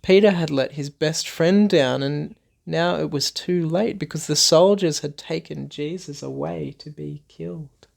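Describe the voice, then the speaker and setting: A man's voice: a little high, a little slow, vocal fry, darkish tone. A man reading a Bible story aloud for children, expressively, in simple repeated phrases with questions to his young listeners.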